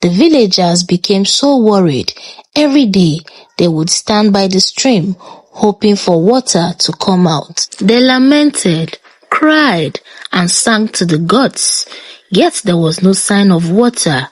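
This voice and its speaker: Nigerian lady telling a folktale, super animated and dramatic, dry close recording. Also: medium pitch, slow, no echo. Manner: dramatic, expressive. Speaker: storyteller. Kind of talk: scripted folktale narration.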